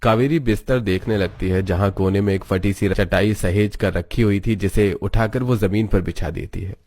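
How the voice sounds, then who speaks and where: A man reading scripted narration for an animated family story. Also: fairly low pitch, moderate pace, no room sound.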